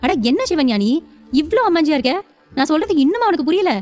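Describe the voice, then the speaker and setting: Woman playing spiteful, fast-talking elder sister. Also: sits high, expressive, darkish tone. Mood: gloating. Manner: gloating, sneering, insistent. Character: spiteful, taunting elder sister, scheming villainess. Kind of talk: audio serial drama acting.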